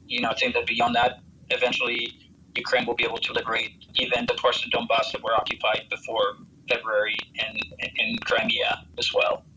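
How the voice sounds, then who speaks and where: An American man with a very bright, forceful voice answers interview questions earnestly. He often halts and searches for words. The recording sounds like a remote line with faint noise behind it. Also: mid-range voice, monotone, fairly quick, low-quality recording. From United States. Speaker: interviewed volunteer soldier.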